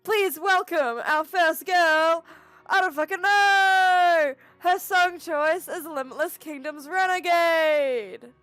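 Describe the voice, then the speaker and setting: A woman voicing a loud, booming male club DJ who announces in hyped shouts. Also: slow pace, dry recording, full character voice.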